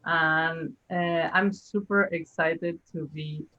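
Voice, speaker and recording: A woman working as a Japanese teacher, introducing herself with excitement on a video call. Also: low voice, slow pace. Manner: excitable, laughs between sentences. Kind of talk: casual self-introduction. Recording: dull video call audio.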